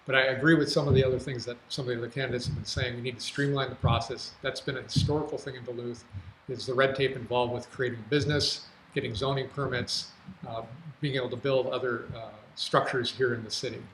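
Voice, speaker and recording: A man's voice: medium pitch, flat delivery, quick pace, a bit bright. An American man giving a candidate's answer at a public forum in a studio. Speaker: city council candidate. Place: local TV studio. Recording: roomy studio, faint background noise.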